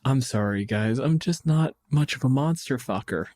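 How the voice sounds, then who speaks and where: A man co-hosting a podcast, talking casually in a wry, measured, opinionated manner. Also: medium pitch, expressive, darkish tone, no room sound, studio quality. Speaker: podcast host. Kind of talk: casual chat.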